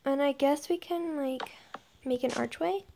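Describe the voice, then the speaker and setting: A woman's voice: high voice, a little slow, vocal fry. A young woman giving casual commentary as she builds in a game, thinking aloud and often murmuring under her breath. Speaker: gaming video creator. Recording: noisy home recording with clicks.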